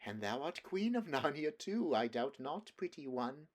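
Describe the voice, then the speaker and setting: A man voicing a soft-spoken, coaxing witch queen in a storybook reading, in a sweet, quiet, half-mocking way. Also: on the high side, big pitch swings, a little slow, voice pushed up. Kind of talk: audiobook character voice.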